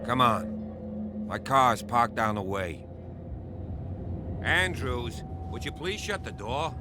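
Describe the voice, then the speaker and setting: An actor playing a gruff, irritable police officer in a video game, curt and authoritative. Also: male voice, medium pitch, slow pace, lightly acted. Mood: firm, grudging. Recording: music behind the voice.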